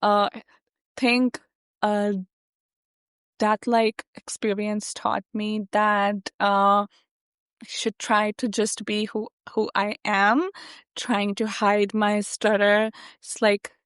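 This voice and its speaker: A young adult woman who stutters, speaking haltingly with many fillers as an interview guest. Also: mid-range voice, little pitch movement, a bit bright, clean tone, dry recording. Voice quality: stutter with repeated sounds and blocks. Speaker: guest, software engineer. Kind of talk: conversational interview.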